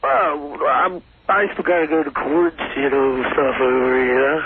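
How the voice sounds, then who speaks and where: A man phoning in to a radio show, chatting in an evasive, slangy, halting way. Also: a little high. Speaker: phone-in guest. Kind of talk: casual rambling phone chat. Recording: noisy tinny phone line with clicks.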